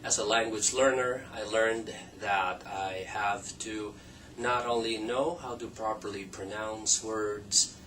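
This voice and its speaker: A man from the Philippines, a second-language English speaker, introducing an online teacher training course as an assistant professor, measured with frequent um pauses. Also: on the low side, fairly even intonation, a bit bright. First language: Tagalog and Ilocano. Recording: distant mic with faint noise.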